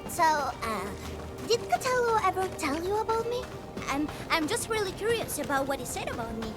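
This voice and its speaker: A woman's voice: very high-pitched, sing-song, moderate pace. A woman playing a chatty, curious, cheerful young crew guide in a video game, with music behind her. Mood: curious, sheepish. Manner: bubbly, informal. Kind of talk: animated game voice acting.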